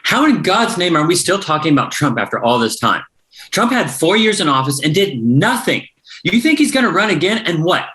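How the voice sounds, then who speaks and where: A man's voice: a little high, big pitch swings, brisk, pushed and bright. A man acting an argumentative, indignant progressive son at a family dinner, incredulous and combative, in a comedy table read.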